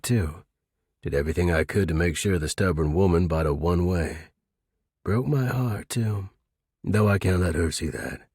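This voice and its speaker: A man's voice: low-pitched, darkish tone, husky, no echo, studio quality. A man narrating a noir audiobook as a world-weary, middle-aged crook, wry and clipped, with a regretful tender side. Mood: resigned resolve, then wry, hurt.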